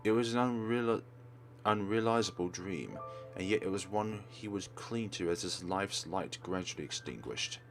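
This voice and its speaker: A man's voice: deep voice, moderate pace. A man narrating a let's play, reading a visual novel aloud as dramatic storytelling. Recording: game music behind voice.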